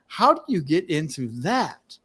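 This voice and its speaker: A man hosts a live-streamed talk show over a video call. He speaks in an upbeat, emphatic, promotional manner. His voice sits a little high. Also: sing-song, unhurried, sharp tone, breathy edge. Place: home studio, Washington DC.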